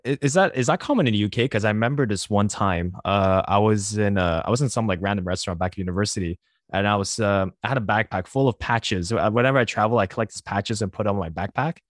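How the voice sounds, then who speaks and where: A male podcast host who talks quickly in a slightly low voice, chatty and full of fillers. He laughs easily as he leads a casual conversation. The sound is close and dry. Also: dry recording. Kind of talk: casual interview chat.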